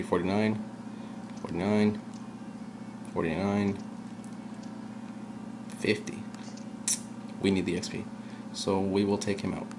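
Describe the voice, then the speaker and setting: Young male let's-player, low and sometimes monotone, loose rambling delivery; camera mic with light background hiss. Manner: rambling, chatty, drifts off topic. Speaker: let's play gamer. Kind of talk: casual gameplay commentary. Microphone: camera aimed at the game screen.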